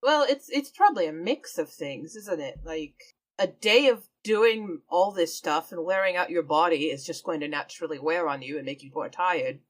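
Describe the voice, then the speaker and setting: A woman's voice: on the low side, expressive, moderate pace. Woman casually playing a tabletop game. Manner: narrates her character's actions in a casual, explanatory way. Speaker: player.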